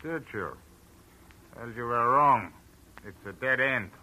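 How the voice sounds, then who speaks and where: An actor playing a stern, brusque older farm owner in 1940s radio style, on an old recording. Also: male voice, medium pitch, slow pace, bright, forceful tone, poor audio.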